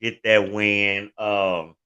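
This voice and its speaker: Brash co-host, bright edgy voice, dull lo-fi mic. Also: male voice, lowish voice, little pitch movement, drawn-out words, smooth. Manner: brash, trash-talking. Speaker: podcast co-host. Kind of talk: fantasy football banter.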